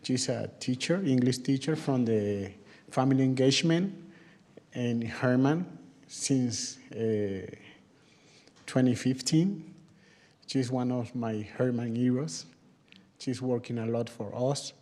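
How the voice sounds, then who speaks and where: Slow, mellow-voiced man, haltingly addressing a council. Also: medium pitch. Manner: halting, searching for words. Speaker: committee member introducing a speaker. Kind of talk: public comment speech at a council meeting.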